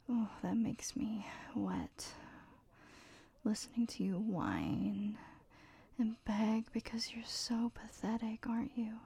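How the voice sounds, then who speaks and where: Slow, breathy whispering woman. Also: fairly high pitch, no echo. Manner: mostly whispered; teasing and commanding, with soft laughs. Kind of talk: whispered audio roleplay. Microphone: close mic.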